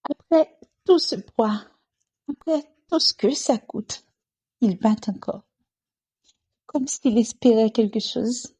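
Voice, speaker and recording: A woman performing a dramatic spoken-word monologue in short, weighted, deliberate phrases, with a soft, breathy voice. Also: fairly high pitch.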